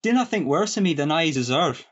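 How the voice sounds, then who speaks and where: A man's voice: fairly high pitch, animated, brisk, a bit bright, muffled recording. A man playing a sentimental, devoted young peasant lad in a farcical radio play, with a broad rustic Scottish accent. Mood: pleading, glad.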